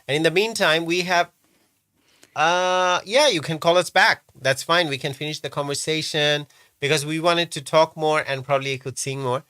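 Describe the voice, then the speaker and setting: A man's voice: a little high, normal speed, sharp tone, clear, resonant tone, no echo, clean studio sound. A man hosting a live call-in show, talking in a chatty, rambling way with frequent restarts. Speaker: host. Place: London show set-up.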